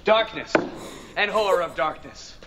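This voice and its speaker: A man's voice: high voice, expressive, unhurried, fairly bright tone, slight background noise. An actor playing a wisecracking, nervous teenage boy in a TV drama. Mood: stiff recital. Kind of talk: TV drama dialogue. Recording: TV soundtrack with faint music.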